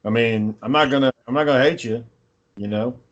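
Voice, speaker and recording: A man's voice: medium pitch, fairly quick, highs cut off. A man co-hosting a casual podcast, telling stories in a blunt, profane way. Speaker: podcast co-host.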